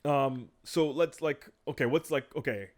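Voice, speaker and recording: A man's voice: medium pitch, a little slow. A man hosting a casual podcast chat, rambling and joking as he introduces topics. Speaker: podcast host.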